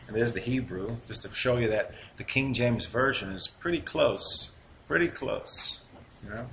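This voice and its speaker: A man with a New York accent, reading Bible verses aloud and explaining them in a relaxed, conversational teaching style. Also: medium pitch, normal speed, slight background noise. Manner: reads scripture aloud then comments conversationally.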